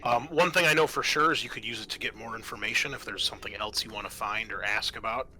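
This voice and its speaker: A man narrating an improvised tabletop game as game master, halting and often pausing mid-sentence. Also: on the low side, pushed and bright, slight background noise, low-quality recording.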